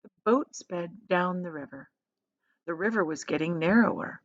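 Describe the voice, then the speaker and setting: A woman's voice: on the low side, moderate pace, no room sound, muffled recording. A woman reading a children's story aloud in a dramatic, emphatic way. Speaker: storybook reader, teacher.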